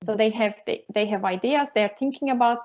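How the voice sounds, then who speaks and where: A woman's voice: on the high side, fairly quick, darkish tone, muffled recording. Woman with a Turkish accent, hesitant. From Turkey. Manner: hesitant, many fillers and restarts. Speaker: university lecturer, guest. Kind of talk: casual interview over a call.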